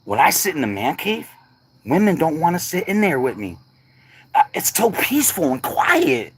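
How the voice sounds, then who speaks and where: A man's voice: a little high, wildly swooping pitch, normal speed, slight background noise. A middle-aged man chatting casually on a livestream, laid-back and slangy, laughing often. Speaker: livestream host.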